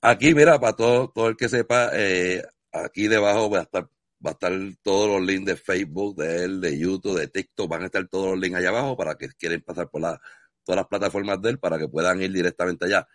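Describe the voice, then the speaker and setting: A man's voice: deep voice, fast talker, no echo, clean studio sound. A man from Puerto Rico with a Puerto Rican accent, interviewing a guest in a casual video chat with enthusiastic, effusive praise. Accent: Puerto Rican Spanish.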